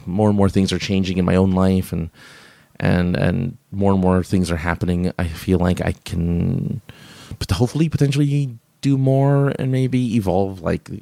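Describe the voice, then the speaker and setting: Deep gravelly man chatting on a stream. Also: fairly even intonation, moderate pace, dark tone, no echo. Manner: rambling, many fillers and restarts; laughs often. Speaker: live stream host. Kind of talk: casual chat reading viewer comments.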